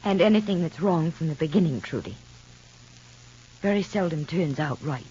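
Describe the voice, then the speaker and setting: Unhappy wife in an old radio soap, earnest and pushy, voice swoops around, kinda husky. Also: female voice, low voice, lively intonation, moderate pace, dark tone. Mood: weary, troubled. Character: unhappily married younger woman, frank and insistent. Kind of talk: 1940s-50s radio soap opera acting. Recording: muffled old radio recording.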